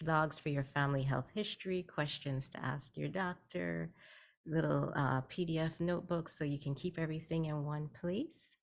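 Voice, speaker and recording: A woman from Guyana speaks as a guest on a video-call meeting, explaining her services plainly and patiently. Her voice sits very low for a woman, with a gravelly fry running through it. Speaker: guest, health educator. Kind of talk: virtual networking meeting talk.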